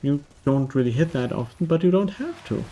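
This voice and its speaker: A man's voice: on the high side, animated, a little fast, dark tone. A man from Germany, narrating a casual game review in a dry, wry manner.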